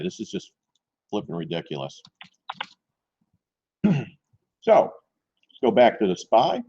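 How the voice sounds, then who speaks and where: A man hosting a live stock trading stream in casual chat, hoarse and losing his voice, clearing his throat often. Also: medium pitch, a little mellow. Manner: keeps clearing his throat, chatty and informal. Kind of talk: casual live market commentary. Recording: dull lo-fi stream audio.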